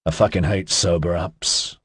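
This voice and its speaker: A man voicing a brash, laid-back young man who talks in loud, casual slang. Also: low voice, fairly even intonation, measured pace, slightly rough, no room sound, studio quality, heavily acted. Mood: grumpy.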